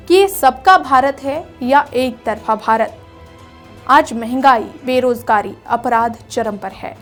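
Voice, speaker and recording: A woman presenting a scripted news report in an earnest, rhetorical manner. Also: fairly high pitch. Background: background music.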